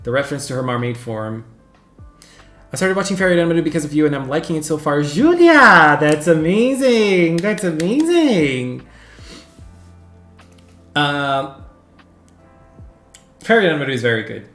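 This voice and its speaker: Animated man streaming in an echoey room. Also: on the high side, unhurried, long pauses. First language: Portuguese. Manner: animated, gushing, squeals greetings to viewers. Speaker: livestream host. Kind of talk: casual chat with viewers. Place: home room. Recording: echoey room with faint background music.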